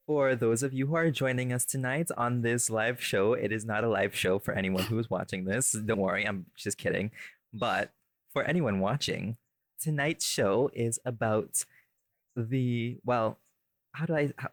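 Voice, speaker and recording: A man hosts an unscripted podcast in a mid-pitched voice with a dry, close sound. He rambles and banters, often correcting himself and putting on a playful show-host formality. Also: moderate pace, dry recording. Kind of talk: casual unscripted podcast chat, playful mock-formal introductions.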